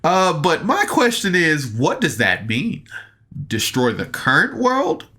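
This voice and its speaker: A man's voice: on the high side, wildly swooping pitch, takes it slowly, sharp tone. A man giving a casual solo podcast review in a rambling, hesitant, enthusiastic fan's way, often backtracking and using slangy wording.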